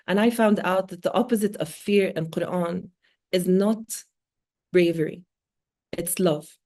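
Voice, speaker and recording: A woman in her forties, a native Arabic speaker, talking earnestly in an interview with measured pauses and fillers. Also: on the low side, a little mellow. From born in Oman, Palestinian. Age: 40s. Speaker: guest speaker, multimedia artist. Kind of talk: reflective interview talk.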